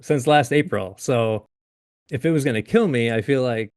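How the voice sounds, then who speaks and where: A man in his late thirties, a guest in a casual interview, talking in a halting way with frequent restarts and easy laughs. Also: mid-range voice, darkish tone, no echo, studio quality. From Upstate New York. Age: 30s.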